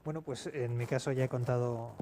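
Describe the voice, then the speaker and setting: Man, Spain accent, halting and self-correcting, rapid pace, mid-pitched, live panel with background noise. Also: steady tone, warm tone. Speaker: audio fiction writer, panelist. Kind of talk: unscripted panel talk. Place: public round-table event. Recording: clear background noise, live event ambience.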